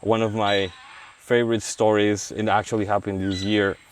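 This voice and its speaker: A man from Mexico speaks English, his voice sitting a little low. He talks in a halting way, with restarts and fillers. He was recorded outdoors in the forest, with faint rain and noise behind him. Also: little pitch movement. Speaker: wildlife veterinarian. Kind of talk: casual interview. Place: outdoors in a rainforest release site.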